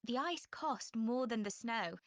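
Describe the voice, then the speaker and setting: A woman playing a frank, chatty young student in a clear, measured scripted dialogue. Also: fairly high pitch, expressive, no echo. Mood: informative, interested. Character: young female student planning a class presentation, frank and chatty. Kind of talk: scripted exam listening dialogue.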